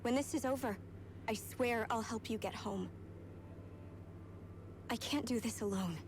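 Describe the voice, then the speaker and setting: A woman's voice: a little high, a little slow, noisy background. A woman playing a dutiful young ceremonial maiden in a video game, composed, sincere and earnest. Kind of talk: video game cutscene voice acting.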